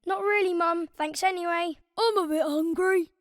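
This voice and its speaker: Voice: very high-pitched, fairly even intonation, normal speed, fairly bright tone, dry recording, studio quality. An actor voicing an earnest schoolboy in a children's cartoon, easily exasperated by parents. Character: Derek, earnest schoolboy drummer embarrassed by parents. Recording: music behind the voice.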